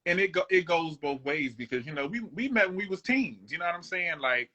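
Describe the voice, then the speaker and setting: A man's voice: a little high, animated, fairly quick, sharp tone. A man in his late thirties, a guest musician away from home, chatting in a laid-back way and often checking in with the listener. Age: 30s. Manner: laid-back, conversational, keeps asking "you know what I'm saying". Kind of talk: casual interview chat.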